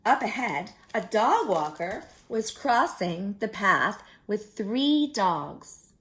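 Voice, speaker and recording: Female children's storyteller, very animated and unhurried, teacherly picture-book read-aloud with simple asides. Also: medium pitch. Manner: explains pictures simply, sometimes dropping to a hush.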